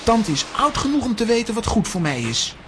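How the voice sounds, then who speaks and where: A woman's voice: on the high side, big pitch swings, fairly quick, a bit bright, slight background noise. A woman playing a plucky, well-behaved young boy in a children's audio drama. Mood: earnest.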